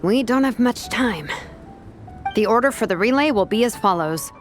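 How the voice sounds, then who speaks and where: A woman voicing a practical, no-nonsense young team captain in a video game, briskly giving instructions. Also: on the high side, animated, faint music behind, close to an everyday voice. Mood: brisk, commanding. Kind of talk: scripted game dialogue.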